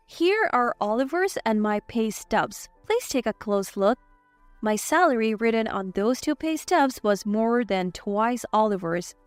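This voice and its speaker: A woman's voice: fairly high pitch, dry recording. A woman reading a scripted first-person story as its narrator.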